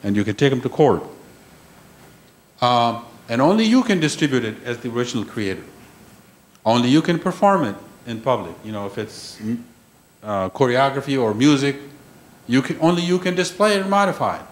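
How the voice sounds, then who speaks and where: A man's voice: mid-range voice, sing-song, a little fast. Man lecturing emphatically at a conference. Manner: emphatic, repeats key phrases, checks in with "okay?". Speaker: conference panel speaker. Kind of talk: lecture on intellectual property. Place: conference room.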